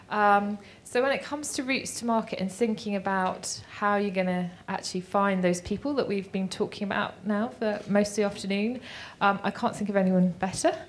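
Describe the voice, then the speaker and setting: A woman's voice: mid-range voice, slight background noise. A woman hosting an event, introducing the next session with many fillers. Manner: warm, filler-laden introductions. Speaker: event host.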